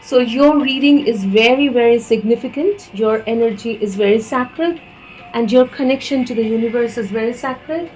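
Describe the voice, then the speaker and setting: A woman's voice: a little high, moderate pace, darkish tone, faint music behind, low-quality recording. A woman from India with an Indian accent, giving a channelled tarot reading and speaking hesitantly, with many fillers and restarts. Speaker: tarot reader.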